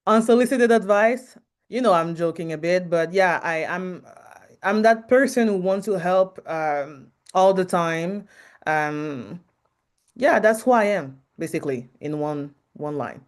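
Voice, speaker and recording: A woman from Canada with a French Canadian accent, a podcast guest and marketing advisor who speaks frankly in a loud voice, pausing often with ums. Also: on the low side, lively intonation. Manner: frank, hesitant with many ums, laughs often. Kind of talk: interview conversation.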